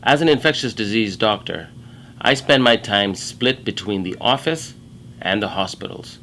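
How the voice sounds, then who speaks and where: Man from Kenya, middle-aged, bright clear voice, measured and earnest, explaining his work as a doctor. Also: lowish voice, slight background noise. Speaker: infectious disease doctor. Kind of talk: prepared career talk to camera.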